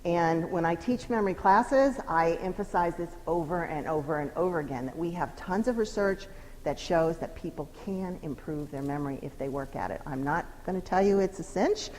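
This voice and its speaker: A middle-aged woman giving a psychology lecture in a lively, emphatic, conversational teaching manner. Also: on the low side, normal speed. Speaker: psychology professor giving a talk. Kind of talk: lecture presentation. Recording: long hall reverb, faint noise.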